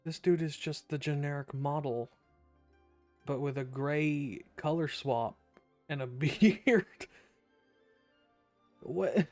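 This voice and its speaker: A woman streamer giving casual, offhand game commentary in a halting, amused way. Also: low voice, darkish tone, soft background music.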